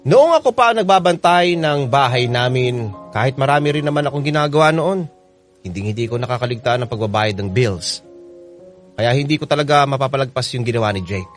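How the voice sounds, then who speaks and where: A man voices a troubled letter writer narrating family hardship for a radio drama. The delivery is scripted, earnest and confiding, at a medium pitch. Soft music plays faintly underneath. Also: animated, a little fast, natural voice. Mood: frustrated, resentful. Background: background music.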